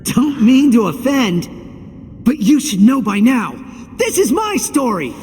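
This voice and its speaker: An actor playing an earnest, cocky young athlete hero in a video game cutscene, speaking casually. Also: male voice, very high voice, sing-song, measured pace, exaggerated voice. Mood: cocky, excited. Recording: long hall reverb, music behind. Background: game music.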